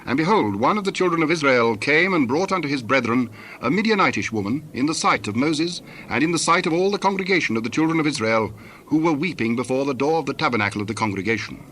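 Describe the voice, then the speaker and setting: A male narrator reads scripture in a mid-pitched voice with faint ambient noise behind him. His delivery is formal and measured, as scripted storytelling. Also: lively intonation, fairly bright tone.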